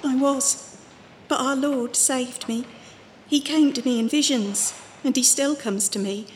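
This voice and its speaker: Woman playing a high-voiced, fervent religious visionary. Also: fairly even intonation, a little slow. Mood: fervent, troubled. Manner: earnest, emotional, pious. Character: fervent, fearful wandering female religious visionary. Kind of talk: audio drama acting. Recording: reverberant hall, faint background noise.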